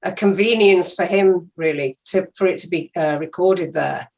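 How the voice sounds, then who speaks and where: A woman, an actress being interviewed, reminisces casually in a measured, precise and polite manner. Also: lowish voice, gravelly, a little mellow.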